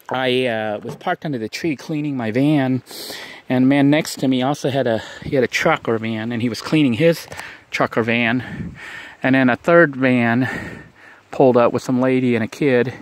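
A man talking outdoors in a casual vlog, giving earnest, rambling advice and repeating himself. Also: mid-range voice. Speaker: vlogger. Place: outdoors on a vacant lot.

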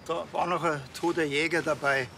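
An actor playing a dry, teasing small-town police officer with a Bavarian accent and a deadpan manner. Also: male voice, a little high, moderate pace, gravelly, a little hiss or hum.

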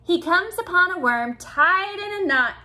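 A woman in her thirties reads a picture book to small children. Her voice sits high and swings widely in pitch. She takes it slowly, phrasing each line deliberately and expressively for a young audience. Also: expressive, bright, forceful tone. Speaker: children's storytime reader. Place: small indoor room with bookshelves.

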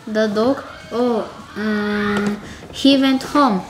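A young woman voicing a sly, hungry, coaxing tiger puppet in an amateur scripted puppet show, with simple, deliberate delivery. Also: mid-range voice, fairly even intonation. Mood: evasive. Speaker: student puppeteer. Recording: roomy, echoey, noisy amateur recording. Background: noisy room ambience.

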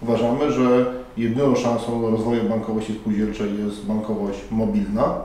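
A man's voice: on the low side, flat delivery, normal speed, mellow. A middle-aged man giving earnest, persuasive answers as a conference panel moderator, at a conference. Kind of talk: formal interview answers. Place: conference hall by a banner. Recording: echoey room from far off.

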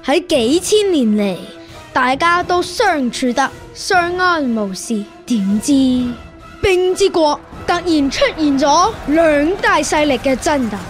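A woman with a Hong Kong Cantonese accent playing a measured narrator of an epic children's fairy tale. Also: high voice, expressive, soft background music. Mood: solemn storytelling. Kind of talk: scripted children's story narration.

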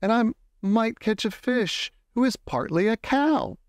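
A man reading a children's picture book aloud expressively, with deliberate phrasing and words drawn out for emphasis. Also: high-pitched, big pitch swings, no room sound, studio quality. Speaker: storybook reader.

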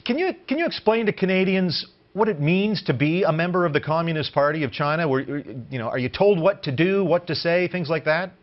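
A middle-aged male news anchor asks questions from a television studio. His voice is a bit high, and the broadcast sound is slightly muffled. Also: lively intonation, a little fast, hardly any pauses. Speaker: news host.

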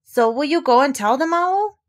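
A woman's voice: high voice, animated, normal speed, voice pushed up. A woman voicing an eager, earnest young boy in a storybook, speaking with emphasis.

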